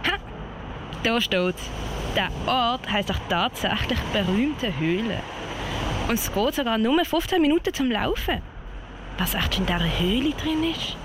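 A woman acting a small, plucky young cub scout, earnest and expressive, in a children's radio play. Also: a little high, unhurried, background noise. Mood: curious, eager.

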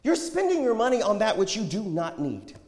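A man's voice: sits high, sing-song, brisk, a little mellow, pitched above the performer's own voice. A man playing a prophet who preaches with tender yet challenging, pleading conviction in a dramatized scripture story. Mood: urgent, challenging. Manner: declamatory, pleading, pointed. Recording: echoey hall with long reverb.

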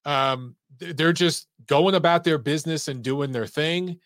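Male call-in show host, slightly high voice, lively and profane, close dry mic. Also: measured pace, dry recording. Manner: animated, swearing, laughs along with callers. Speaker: boxing talk show host. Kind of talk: casual live call-in chat.

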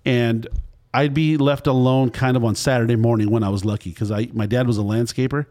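A man's voice: mid-range voice, steady tone, fairly quick, a little mellow. A man in his fifties hosting a casual food podcast, telling long, wandering anecdotes. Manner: rambling storyteller, wandering anecdotes. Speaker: podcast host. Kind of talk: casual interview chat.

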